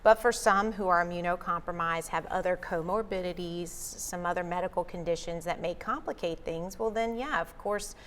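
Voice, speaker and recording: Calm, polite woman health guest. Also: lowish voice, normal speed, hardly any pauses, in a large hall. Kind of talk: explanatory interview answers. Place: local TV studio.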